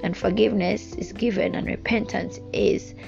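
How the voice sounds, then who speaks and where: Gravelly Jamaican woman giving a devotional. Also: medium pitch, fairly even intonation, normal speed. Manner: earnest, rambling, with frequent fillers. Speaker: devotional speaker. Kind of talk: informal devotional talk. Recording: dull lo-fi recording with music behind.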